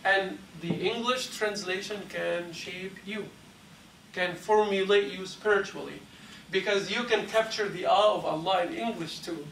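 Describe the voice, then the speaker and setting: A man's voice: high-pitched, a bit bright. Man teaching a class in an echoey hall. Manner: measured and explanatory, taking questions from the class. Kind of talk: mosque class lecture. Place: large carpeted mosque hall. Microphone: lapel mic, filmed by a far camera. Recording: echoey hall from a distance, faint hiss.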